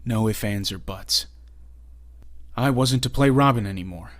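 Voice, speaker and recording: A man's voice: on the low side, a little slow, a bit bright. A man playing a bitter, earnest young former sidekick, delivering a reproachful dramatic monologue in a comic dub. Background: music.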